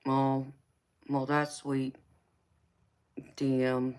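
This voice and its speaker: A woman's voice: a bass voice, monotone, drawn-out words, darkish tone, clean tone. A woman with a Southern US accent, from California, hosting a casual livestream as a psychic tarot reader and answering viewer comments, laughing often and calling viewers baby.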